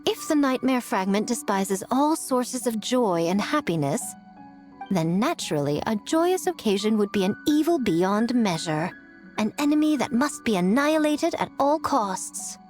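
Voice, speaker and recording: A woman's voice: fairly high pitch, sing-song, a little slow, a little mellow. A woman voicing a sly, self-assured fox shrine woman in a video game, poised, teasing and eloquent. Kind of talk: scripted video game voice acting. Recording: music behind the voice.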